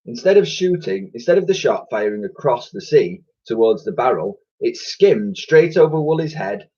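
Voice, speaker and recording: Teacher guy reading a kids book, husky, lively pitch, dull lo-fi sound. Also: normal speed. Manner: expressive, lively storyteller. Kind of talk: children's storybook reading.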